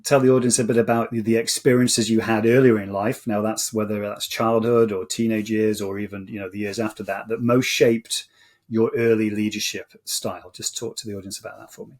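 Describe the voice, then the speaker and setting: A man hosting an interview show, introducing a guest and asking questions politely in a measured way. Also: fairly low pitch, little pitch movement, darkish tone. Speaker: podcast host.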